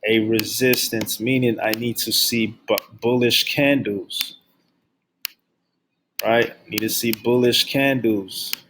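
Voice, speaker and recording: A young man teaching forex basics, keeping his voice low and subdued, and repeating key phrases over and over as he drills them in. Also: steady tone, slow, a bit bright, slight background noise. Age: young adult. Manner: speaks low and subdued on purpose. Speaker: forex trading instructor. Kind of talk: screen-share trading lesson. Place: at his workplace.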